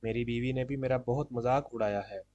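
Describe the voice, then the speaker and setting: A man's voice: medium pitch, fairly quick, warm tone, dry recording, lo-fi sound, lightly acted. A man voicing a greedy, simple-minded, blustering old farmer in a cartoon moral story. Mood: aggrieved.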